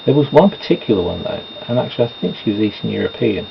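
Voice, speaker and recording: Rambling man, gravelly voice. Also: fairly low pitch, lively intonation, fast. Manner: chatty, meandering, self-deprecating asides. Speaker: vlogger doing makeup. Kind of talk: rambling casual chat. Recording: dull lo-fi home recording with noise.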